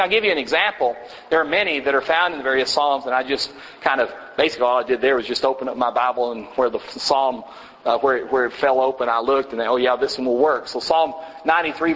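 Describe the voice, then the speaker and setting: A man leads a Bible class in a church, talking casually and stopping often to restart his sentences. His voice sits at an ordinary middle pitch. The room adds a long, hanging reverb behind him. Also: a bit bright. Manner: halting, many fillers and restarts, chuckles. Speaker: preacher teaching a congregation class. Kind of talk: informal Bible class teaching.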